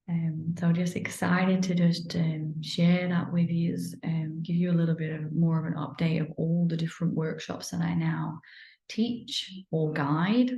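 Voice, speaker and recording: A woman from England with a Manchester accent, an energy healer talking casually about her workshops, relaxed and meandering with many ums. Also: lowish voice, vocal fry, reverberant, lo-fi sound. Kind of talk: casual promotional talk to camera.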